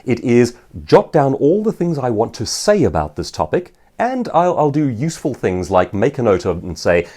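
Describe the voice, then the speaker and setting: A man who works as an author and professional speaker, giving an informal seminar in an articulate, emphatic storytelling manner with dramatic pauses. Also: medium pitch, mellow. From South Africa. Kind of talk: informal seminar answering viewers' questions.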